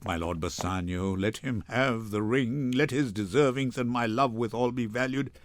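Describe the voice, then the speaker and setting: A man voices a solemn merchant from classic stage drama. He speaks low and gravely, persuading like a loyal friend, with a dry, close sound. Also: moderate pace, no room sound, close to an everyday voice. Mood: grave, urging. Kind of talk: dramatic Shakespeare reading.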